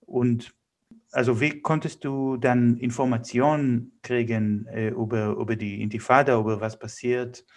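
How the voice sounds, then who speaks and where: A man's voice: mid-range voice, flat delivery, a little slow. A man hosting an interview, giving introductions and putting questions to a guest. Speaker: interview host.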